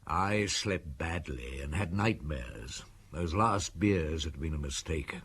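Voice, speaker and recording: An actor playing a weary, probing middle-aged police inspector in a radio drama, measured and dry. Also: male voice, extremely low pitch, animated, a bit bright, full character voice. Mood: reflective. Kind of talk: radio drama acting and narration.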